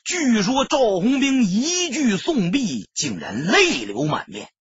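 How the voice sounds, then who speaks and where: A man narrating an audiobook in a dramatic, emphatic storyteller's style, with a husky, rough-edged voice. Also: high-pitched, slow, no echo. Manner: expressive, emphatic storytelling delivery. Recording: dull lo-fi audio, dry sound.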